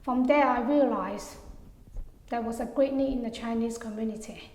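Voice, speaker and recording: Soft-spoken woman from Hong Kong in an echoey room. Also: a little high, normal speed. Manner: hesitant, many fillers, soft trailing endings. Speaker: interviewee. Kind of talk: oral history interview. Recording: echoey room with long reverb.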